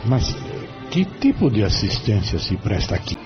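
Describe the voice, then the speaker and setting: Man as a deep, animated spirit mentor. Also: moderate pace. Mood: curious. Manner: formal, kindly, inquisitive. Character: benevolent male spirit mentor, courteous and curious. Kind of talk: audio novela dialogue. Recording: dull sound with faint music.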